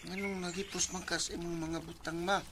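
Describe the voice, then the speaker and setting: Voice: medium pitch, flat delivery, brisk, background noise, close to an everyday voice. A performer playing a meek, dutiful, apologetic schoolboy with a Cebuano Filipino accent in a radio drama. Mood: uneasy. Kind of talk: Philippine radio drama acting.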